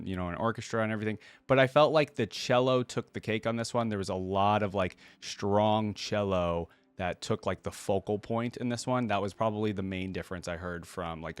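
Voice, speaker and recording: Low-voiced man giving casual reaction commentary. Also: clean tone, no room sound. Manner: informal, thinking aloud. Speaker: reactor, video host.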